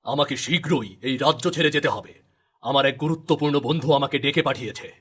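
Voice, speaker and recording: A man voicing a grave, authoritative king and father in a storybook cartoon, with a solemn, slightly husky voice. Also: mid-range voice, fairly quick, fairly bright tone. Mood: grave, fatherly. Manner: commanding, solemn.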